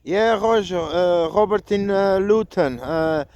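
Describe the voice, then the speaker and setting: A man's voice: sits high, slow pace, noisy background. A man making radio contact as a CB operator, speaking hesitantly and repeating himself. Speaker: CB radio operator testing an antenna. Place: outdoors in a park.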